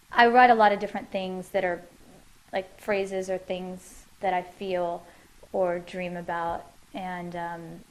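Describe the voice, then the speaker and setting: A woman's voice: lowish voice, slight background noise, low-quality recording. Reflective woman in a home interview. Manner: reflective, many fillers and restarts. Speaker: musician interviewee. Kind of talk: casual interview answers. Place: her living room at home.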